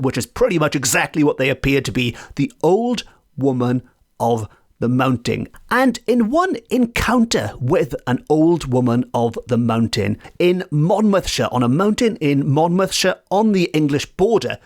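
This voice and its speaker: A man's voice: fairly high pitch, a little slow. A man from Wales who speaks Welsh, hosting a folklore podcast from home and telling the tale with playful asides. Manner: lively, animated storytelling with playful asides. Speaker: podcast host. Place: home in a residential area.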